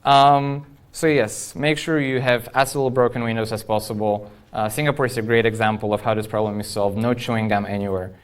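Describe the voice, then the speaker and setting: A man speaks as an engineer presenting at a tech conference. His voice is clean and resonant at an average male pitch. He talks informally and with firm opinions, putting his points straight to the listeners. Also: fairly even intonation, a little fast, hardly any pauses, smooth. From Poland. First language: Polish. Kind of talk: informal technical conference talk.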